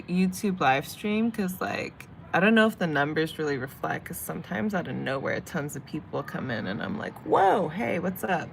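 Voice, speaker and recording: A woman's voice: sits low, gravelly. A young woman from San Diego, chatting casually with livestream viewers while she reads tarot and does her makeup. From San Diego, California. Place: home in Vietnam. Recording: faint background noise.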